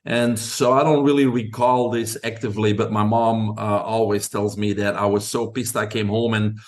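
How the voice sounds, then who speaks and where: A man's voice: on the low side, flat delivery, normal speed. A middle-aged man from Belgium with a Belgian accent, a podcast guest telling his story in long, confident answers.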